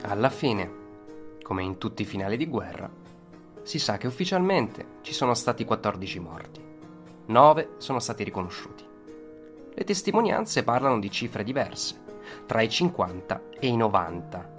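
A man with a fairly low voice tells a story as scripted radio narration. His delivery is solemn and dramatic, built on short, deliberate phrases and emphatic lists. An instrumental guitar soundtrack plays behind him. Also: very animated, fairly bright tone.

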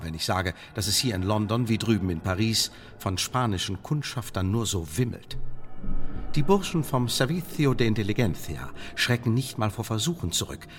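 Stern spymaster, echoing hall. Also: male voice, lowish voice, a little hiss or hum. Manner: formal, measured, warning. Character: stern, cautious British spymaster briefing a new agent. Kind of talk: German radio play acting. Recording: reverberant hall-like room.

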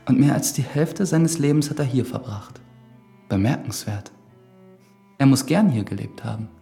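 Radio drama priest: earnest church investigator, pious and formal, slightly gravelly voice, music underneath. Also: male voice, mid-range voice, lively intonation, moderate pace, warm tone. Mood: measured, inquiring. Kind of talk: German radio drama acting.

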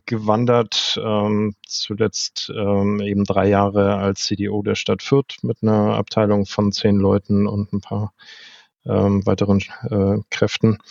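A man's voice: fairly low pitch, gravelly, dry recording. A man from Schleswig-Holstein, a computer scientist guesting on a podcast, speaking hesitantly with many fillers over a remote connection. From northern Germany, Schleswig-Holstein. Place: remote call. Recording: dull, dry remote audio.

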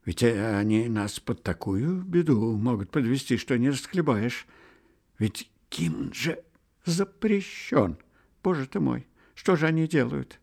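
A man's voice: medium pitch, sing-song, unhurried, vocal fry, darkish tone, heavily acted. A man voicing a frightened, whining middle-aged landlord who wails and mumbles in tears. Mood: panicky mumble. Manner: wailing, mumbling, tearful.

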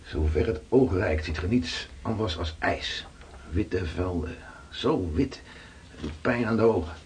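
An actor playing a Dutch sailor slowly recounting his voyage in a radio play. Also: low-pitched, darkish tone, slight background noise. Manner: slow, vivid recounting. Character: weathered Dutch sailor telling voyage tales.

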